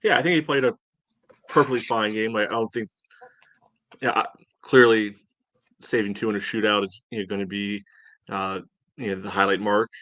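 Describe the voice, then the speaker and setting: A man co-hosting a sports podcast, casually discussing a soccer match in a measured, hedging way. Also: fairly low pitch, steady tone, a bit bright, dry recording. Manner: measured, hedging, frequent "you know".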